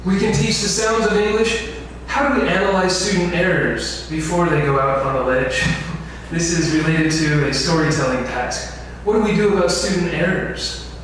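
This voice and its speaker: A man's voice: fairly high pitch, normal speed, hardly any pauses, fairly bright tone. Man lecturing in a very echoey room. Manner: asks the room questions. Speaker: instructor. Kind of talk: info session lecture. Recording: very echoey room, distant mic, faint noise.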